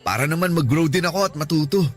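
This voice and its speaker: A man's voice: fairly high pitch, fast talker, natural voice. An actor with a Filipino accent playing a shy, earnest young man in a conversational radio drama. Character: shy, earnest young man, introverted first-time volunteer. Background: background music.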